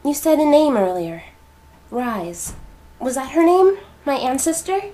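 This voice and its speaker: A woman's voice: high-pitched, very animated, unhurried, warm tone, poor audio. A woman playing a stressed, skeptical heir in an audio drama, incredulous and defiant in manner. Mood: challenging, questioning. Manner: incredulous, combative, sarcastic.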